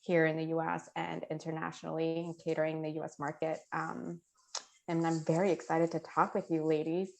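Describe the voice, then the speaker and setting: Businesslike woman from ecuador, grew up in nyc, lowish voice. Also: flat delivery, a little fast, vocal fry, a little mellow. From Ecuador, raised in New York City. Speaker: guest, PR consultant. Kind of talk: casual video-call chat.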